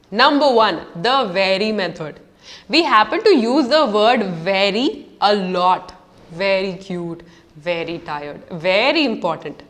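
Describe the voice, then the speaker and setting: Lively young Indian woman teaching English. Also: medium pitch. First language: Urdu. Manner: lively, emphatic, playful delivery. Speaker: English teacher and video host. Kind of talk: scripted educational vlog.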